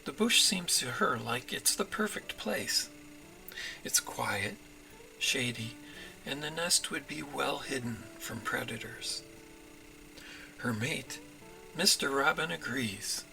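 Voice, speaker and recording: A man reading his children's story as narrator, in an expressive storytelling manner. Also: mid-range voice, slow, vocal fry, long pauses, faint music behind, low-quality recording. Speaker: author reading own storybook.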